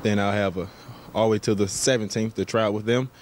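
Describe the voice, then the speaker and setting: A man's voice: fairly low pitch, steady tone, fast. An American man, an athlete, answering interview questions casually with thoughtful, hesitant phrasing. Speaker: professional basketball player. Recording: clear background ambience noise.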